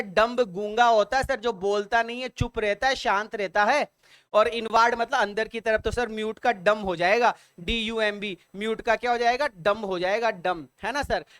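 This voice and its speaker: A man teaching an online exam-prep English vocabulary lesson in Hindi. He repeats points over and over, spells words out letter by letter, and reads the English prompts slowly and deliberately. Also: extremely high pitch, fairly bright tone, smooth, dry recording. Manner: addresses viewers as sir and dear children.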